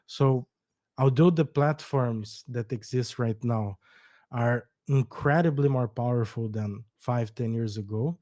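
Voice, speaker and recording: Brazilian man with a warm, dry voice. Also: medium pitch, unhurried, no room sound. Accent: Brazilian Portuguese. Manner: earnest, explanatory, with restarts. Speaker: startup co-founder guest. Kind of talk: podcast interview.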